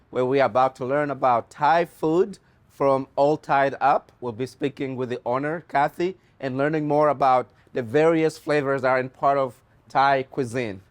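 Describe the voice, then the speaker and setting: A man hosting a local restaurant interview in a friendly, brisk way, with many short replies while his guest talks. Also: medium pitch. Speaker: host. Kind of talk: casual interview.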